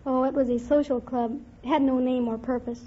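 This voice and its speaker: A woman's voice: high voice, fairly even intonation, fairly quick. A young woman answering questions as a quiz show contestant on an old radio recording. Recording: dull vintage radio, faint hiss.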